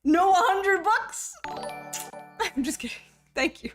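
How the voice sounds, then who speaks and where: A woman's voice: very high-pitched, animated, faint music behind. A woman in her thirties chatting casually on a livestream, teasing her viewers, swearing freely and laughing often. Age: 30s. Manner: teasing, profane, quick to laugh. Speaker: streamer.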